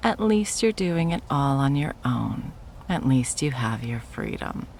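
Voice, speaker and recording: Low, creaky, condescending executive ex-girlfriend played by a woman. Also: big pitch swings, unhurried, a little mellow, slight background noise, exaggerated voice. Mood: sardonic, reproachful. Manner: condescending, sarcastic, drawling sweet talk. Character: wealthy, possessive corporate executive ex-girlfriend, mocking and menacing. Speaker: voice actor in audio roleplay. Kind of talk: ASMR roleplay, dramatic monologue.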